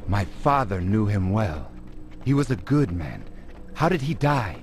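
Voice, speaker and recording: A man's voice: on the low side, very animated, unhurried. A man playing an earnest, anxious young townsman in a video game, hesitant and emotive. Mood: troubled. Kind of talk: video game cutscene voice acting. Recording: music behind the voice.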